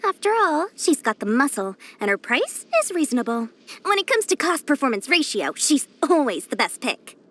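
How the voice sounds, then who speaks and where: A woman's voice: sits high, very animated, normal speed, fairly bright tone. A woman voicing a small, greedy, boastful young female merchant in a video game, with smug, theatrical, wheedling sales patter. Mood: gleeful, boastful. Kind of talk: video game character voice lines.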